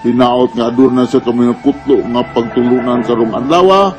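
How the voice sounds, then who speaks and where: Halting Bisaya male radio doctor. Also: medium pitch, over music. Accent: Filipino, Bisaya. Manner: halting, explanatory, mixes in English medical terms. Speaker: radio advice host, doctor. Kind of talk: radio advice talk.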